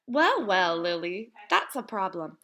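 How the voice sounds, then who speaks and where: A woman voicing a kind, upbeat immigrant father in a read-aloud storybook, reading gently and reassuringly. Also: mid-range voice, sing-song, measured pace. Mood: gentle.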